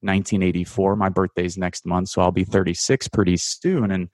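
Low-voiced man in his thirties. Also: level tone, darkish tone, dry recording, studio quality. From United States. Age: 30s. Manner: measured, hesitant, many fillers and restarts. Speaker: guest writer. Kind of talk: radio interview, analytical commentary.

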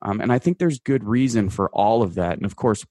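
Man, 30s, radio guest, very deep voice, halting analytical delivery, dry close sound. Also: dark tone, no echo. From United States. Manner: measured, hesitant, many fillers and restarts. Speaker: guest writer. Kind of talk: radio interview, analytical commentary.

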